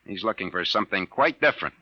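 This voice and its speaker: An actor playing a sardonic, hard-boiled private detective boss, wry and gruff, in a 1950s radio drama. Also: male voice, low-pitched, animated, quick pace, no room sound. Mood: exasperated, bossy. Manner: wry, gruff, clipped slangy talk. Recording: dull, dry vintage radio recording.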